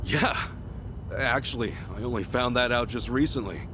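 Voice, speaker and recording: A man's voice: medium pitch, normal speed, noisy background, close to an everyday voice. A man playing a brash, good-natured, streetwise tough guy in a game cutscene, talking bluntly and casually in slang. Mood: easygoing, curious. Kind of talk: English video game cutscene dub acting. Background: music.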